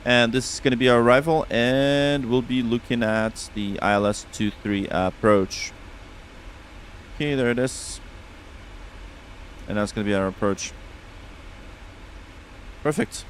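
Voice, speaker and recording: Russian-accented male streamer, relaxed and chatty, mid-pitched voice. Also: measured pace, long pauses, a little hiss or hum, low-quality recording. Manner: relaxed, chatty, reads back radio calls. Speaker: flight sim streamer. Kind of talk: casual live-stream chat with radio calls.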